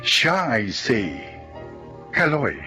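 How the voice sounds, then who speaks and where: Male radio drama narrator. Mid-pitched, animated delivery, formal scripted introduction style. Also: drawn-out words, soft background music.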